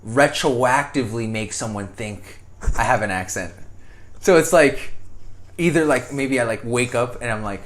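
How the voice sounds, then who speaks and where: A young man co-hosting a casual podcast, animated, swearing casually and laughing often. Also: medium pitch, normal speed. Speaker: podcast host. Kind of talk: casual chat.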